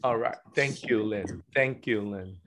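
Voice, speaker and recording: A man hosting an online teachers' meeting, calling on each teacher in turn and filling pauses with ums. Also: on the low side, fairly bright tone, slight background noise. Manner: slow, hesitant with many fillers. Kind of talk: hosting a video call.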